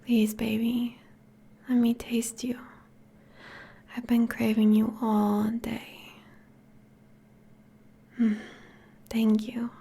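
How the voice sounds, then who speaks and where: A tender, possessive vampire girlfriend, played by a woman, whispers in an intimate ASMR roleplay. Her voice is fairly high, breathy and creaky at the edges. She coaxes and teases slowly and softly. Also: completely flat delivery, full character voice. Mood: coaxing, hungry. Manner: slow, soft, coaxing.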